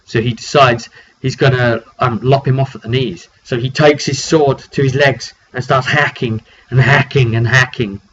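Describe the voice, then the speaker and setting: A man with a mid-pitched voice tells the story of a roleplay session like a game master among friends. His phrasing is casual, slangy and animated. The recording is dull and lo-fi, with faint noise behind him. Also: normal speed.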